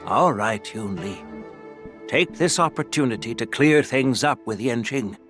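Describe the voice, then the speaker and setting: An elderly general and grandfather in a video game. He speaks slowly at a medium pitch, modest and conciliatory, with faint music behind. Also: expressive, fairly bright tone. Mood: mild, apologetic. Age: older. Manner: mild, conciliatory, apologetic.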